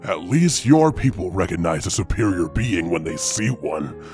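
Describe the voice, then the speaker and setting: A man voicing a haughty, commanding male vampire lord who drawls his words slowly and arrogantly. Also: sits low, sing-song, darkish tone, faint music behind, pitched below the performer's own voice. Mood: imperious.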